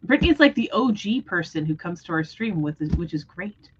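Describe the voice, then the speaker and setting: A woman's voice: on the low side, animated, fairly quick, highs cut off, a little hiss or hum. A woman hosting a book readalong livestream, talking casually about books. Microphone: livestream microphone.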